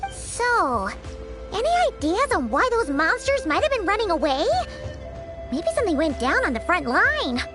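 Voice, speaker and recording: A woman voicing a tiny, chirpy, childlike fairy companion in a video game, squeaky and full of exclamations. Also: very animated, normal speed. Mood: puzzled, worried. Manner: exclamatory, chirpy, refers to self in third person. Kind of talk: English video game voice acting. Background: game music.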